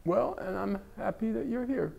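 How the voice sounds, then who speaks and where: A man's voice: high voice, lively intonation, normal speed, creaky, dark tone. An older man teaching a class in a library room, joking wryly and mocking himself as he talks to students. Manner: wry, joking, self-mocking. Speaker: class instructor. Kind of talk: casual classroom talk.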